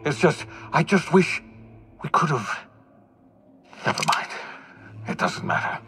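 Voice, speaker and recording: An actor playing a despairing, defiant older fugitive scientist in a video game, theatrical and swinging from bitter to pleading. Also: male voice, fairly high pitch, lively intonation, slow, music in the background. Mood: remorseful, broken. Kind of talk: dramatic video game acting.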